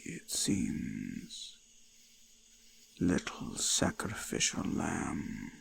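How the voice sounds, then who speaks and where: An ancient eldritch god of the forest, menacing and curious. The deep voice crawls along extremely slowly, crackling with gravel and sinking into whispers. It is a close-up folk horror roleplay with faint ambient noise around it. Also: male voice, exaggerated voice. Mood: ominous curiosity. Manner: slow, deliberate, archaic phrasing, often sinking to whispers. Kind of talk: scripted immersive roleplay monologue, folk horror. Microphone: close binaural microphone.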